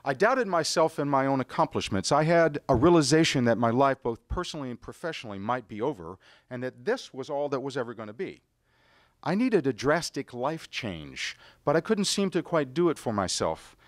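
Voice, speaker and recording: Animated middle-aged American man storyteller. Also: medium pitch, normal speed, no room sound, clean studio sound. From United States. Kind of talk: rehearsed personal story told live on stage. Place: live storytelling stage. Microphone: stage microphone on a stand.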